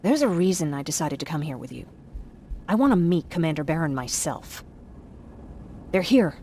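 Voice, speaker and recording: A young female survivor in a war game. Her voice is on the low side, and she talks in a candid, chatty, naturalistic way. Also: very animated, normal speed, dark tone, a little hiss or hum. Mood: candid, determined. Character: earnest, talkative young woman, war survivor. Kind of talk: naturalistic video game acting.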